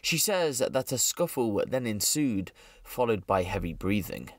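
Male true crime narrator, lowish pitch, scripted and serious delivery, dry close sound. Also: big pitch swings, unhurried, dry recording.

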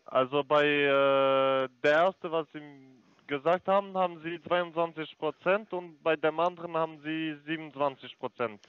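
A man with a foreign accent talks through a phone sales pitch, stumbling over his grammar and filling gaps with hesitations. His voice is smooth and sits a little high. The line sounds dry and dull, like a muffled phone recording. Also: fairly even intonation, no room sound. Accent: foreign accent in German. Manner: halting, many fillers, broken grammar. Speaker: scam call center agent.

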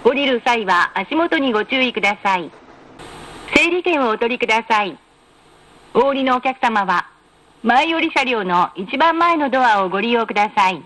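Formal high-voiced woman making train announcements. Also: lively intonation, a bit bright. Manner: formal, polite, measured enunciation. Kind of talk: scripted automated in-car announcement. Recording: noisy train announcement recording.

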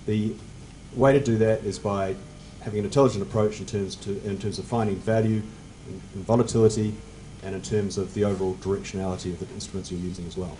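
A man in his forties answering on a conference panel at a table mic, measured and technical, his phrases fading at the ends. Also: fairly low pitch, fairly even intonation, mellow, slight background noise, poor audio. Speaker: panelist, fund manager. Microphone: table mic on stand.

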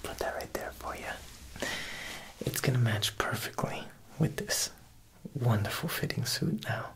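A young man playing a smooth, menacing Italian mob boss tailor in a whispered ASMR roleplay, coaxing and deliberate with veiled threat. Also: medium pitch, very animated, a bit bright, rough recording. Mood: smooth, generous. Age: young adult. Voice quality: breathy whisper with creaky edges. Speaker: ASMR performer.

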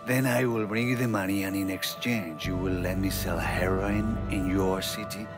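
A man playing a menacing, coldly courteous drug supplier in a video game, speaking formally and deliberately with an Italian accent. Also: sits low, steady tone, loud music behind the voice, natural voice. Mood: menacing, satisfied. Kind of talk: dramatic video game dialogue.

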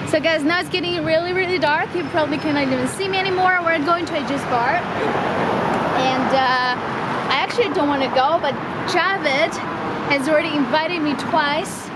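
A young woman chatting to her camera at arm's length, excitable and quick to laugh, walking through a busy food market and night streets. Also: sits high, heavy background noise. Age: young adult. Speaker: travel vlogger. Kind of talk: casual vlog chat to camera. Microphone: handheld camera at arm's length.

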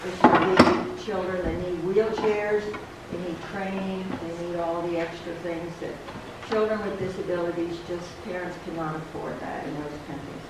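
A woman's voice: fairly low pitch, fairly even intonation, normal speed, few pauses. Chatty woman in an echoey church. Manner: chatty and enthusiastic, with laughter. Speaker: church member giving an announcement. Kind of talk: casual announcement to a congregation. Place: small wooden church sanctuary, at a pulpit. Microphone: stand mic at the pulpit, far camera. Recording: echoey church room from a distant camera, background noise.

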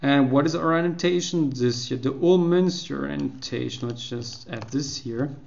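A man walking through a coding tutorial over a screen recording, unhurried and full of fillers and restarts. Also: mid-range voice, vocal fry, darkish tone, lo-fi sound, a little hiss or hum. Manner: unhurried, explaining step by step with many fillers and restarts. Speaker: programming tutorial host.

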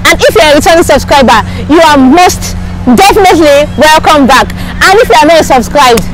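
A young woman from Africa talks brightly to the camera, pushing her channel in a cheerful, repetitive way. Her voice sits high. She is outdoors on a city park lawn, with a crowd and passing cars behind her. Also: lively intonation, a little fast, poor audio. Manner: upbeat, repetitive channel promotion. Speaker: YouTuber and street interviewer. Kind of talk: vlog intro and street interview.

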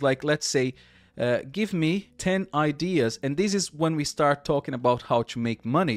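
A man in his thirties with a Portuguese accent talks viewers through a tutorial at an ordinary, mid-range pitch. He is chatty and loose, repeating words and restarting phrases as he goes. The sound is close and dry, recorded at a desk mic in a small room. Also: no echo. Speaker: tech tutorial host. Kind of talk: screen-share tutorial walkthrough.

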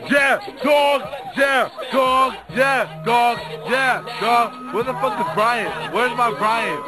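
A drunk young man with a Southern California accent, filming at a night party and teasing friends in loud slangy banter and half-rapped freestyle. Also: very high voice, slow pace. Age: young adult. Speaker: party cameraman. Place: outdoor night house party. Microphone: handheld camcorder held close. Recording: loud party with hip hop music and crowd.

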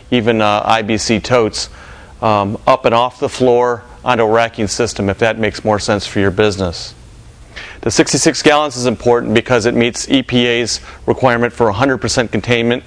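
Middle-aged male product presenter, mid-pitched, informal and explanatory, pauses often while searching for wording. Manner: halting, explanatory, with frequent pauses. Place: warehouse with metal walls and racking.

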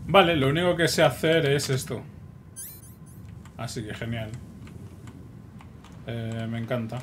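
A man with a Spain Spanish accent giving casual, excitable commentary while he plays a game. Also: mid-range voice, a little slow. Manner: chatty, excitable, talks to himself. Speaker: gameplay streamer. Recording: noisy recording.

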